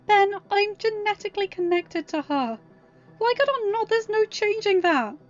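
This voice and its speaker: A woman's voice: extremely high pitch, animated, normal speed, muffled recording, soft background music, pitched above the performer's own voice. A woman voicing a troubled, self-doubting teenage girl in a plaintive, insistent way for a story. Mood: upset, insistent.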